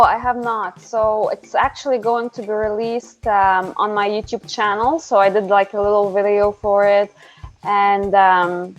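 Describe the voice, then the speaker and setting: A young adult woman from Canada, a singer-songwriter guest chatting casually and hesitantly, with many fillers and restarts. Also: medium pitch. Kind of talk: casual interview chat. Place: home, remote call. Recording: slightly dull lo-fi audio.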